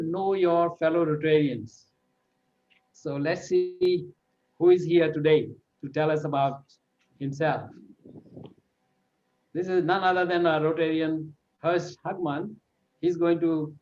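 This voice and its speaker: A man hosting an online club meeting, making formal announcements in a halting, hesitant way over a video call. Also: fairly high pitch, a little mellow. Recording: dull, lo-fi online meeting audio.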